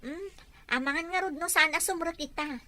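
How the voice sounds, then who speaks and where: A woman's voice: high voice, brisk, pushed and bright. A woman with a Filipino accent playing an anxious young daughter in an Ilocano audio drama. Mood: worried. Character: anxious young daughter fretting over her mother.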